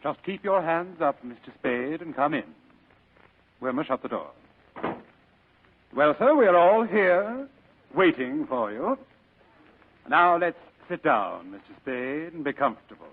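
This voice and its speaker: An actor playing a portly, courteous crime boss in 1940s radio style, florid and politely menacing, on a tinny vintage broadcast. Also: male voice, fairly high pitch, sing-song, measured pace, pauses often, a little hiss or hum. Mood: smoothly menacing.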